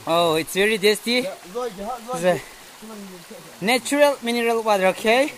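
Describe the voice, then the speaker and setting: A young man from India vlogging on a phone held at arm's length while hiking a forest trail to a waterfall, tired and thirsty, with excited exclamations and halting simple phrases. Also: sits high, poor audio. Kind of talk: casual vlog narration while hiking.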